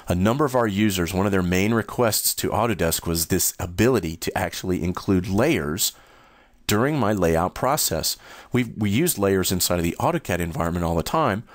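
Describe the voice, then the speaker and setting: A man walking through new software features in a steady, explanatory screen-recorded demo. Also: low-pitched, no echo. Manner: steady, explanatory, first-person demo narration. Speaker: software demonstrator.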